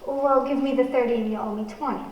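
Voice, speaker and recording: A woman's voice: on the high side, steady tone, quick pace, lo-fi sound, close to an everyday voice. A woman playing a brazen con artist in vintage comic banter, insistent, bossy and matter-of-fact. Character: brazen, fast-talking female con artist comic partner. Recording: roomy, distant microphone, faint noise.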